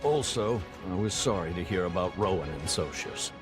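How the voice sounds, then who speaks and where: An actor playing a gracious, plain-spoken fort general in a video game, formal and declamatory in delivery. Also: male voice, low-pitched, lively intonation, normal speed. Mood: warm, somber. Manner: formal, old-fashioned, declamatory. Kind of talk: dramatic video game cutscene acting. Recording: loud music under the voice.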